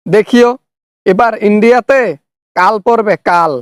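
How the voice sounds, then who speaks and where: A man's voice: sits high, a little slow, clean tone, no room sound, clean studio sound. A man with a Bangladeshi accent hosting a satirical cartoon skit, speaking in a scolding, sarcastic way. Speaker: parody channel host, accuser in skit.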